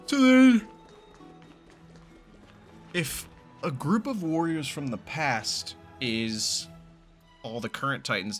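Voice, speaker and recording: Lively, swinging male game commentator. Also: fairly low pitch. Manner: excitable, rambling, slangy. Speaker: gaming video host. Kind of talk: casual game reaction commentary.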